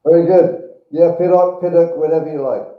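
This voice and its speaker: A man's voice: fairly high pitch, fairly even intonation, fairly quick. A man with a British accent, a guest actor and writer calling in from his house, talking in a wry, self-deprecating way. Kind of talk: phone-in interview. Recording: tinny, echoey phone line.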